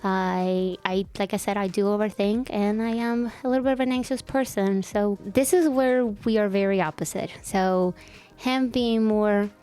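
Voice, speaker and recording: A woman's voice: mid-range voice, steady tone, normal speed, warm tone, clean tone, faint music behind. Woman in her twenties, chatty podcast host. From El Salvador. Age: 20s. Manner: chatty, self-deprecating, laughs easily. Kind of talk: casual chat.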